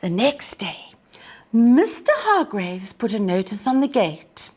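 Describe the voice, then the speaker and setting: A woman reading a storybook aloud for young children in a deliberate, expressive way, as a storytime host. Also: mid-range voice, breathy edge.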